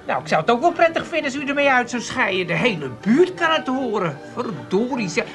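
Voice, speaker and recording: An actress playing a bossy, no-nonsense Amsterdam housewife in a comic radio play, emphatic and repeating herself. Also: female voice, a little high, big pitch swings, fairly quick, a bit bright, faint music behind. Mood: scolding. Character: bossy, no-nonsense working-class housewife, scolding and knowing.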